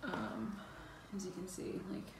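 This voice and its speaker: A woman's voice: lowish voice, animated, measured pace. Woman vlogging casually in an echoey room. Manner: informal, unscripted, trailing thoughts. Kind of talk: casual vlog chat to viewers. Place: indoors, empty apartment and lived-in home. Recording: echoey rooms with clear background noise.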